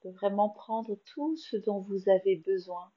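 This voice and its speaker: Casting: French woman, meditation teacher, very slow calm delivery, slightly muffled lo-fi sound. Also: medium pitch. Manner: slow, soft, soothing guidance with long pauses. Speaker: yoga nidra teacher. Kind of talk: guided meditation.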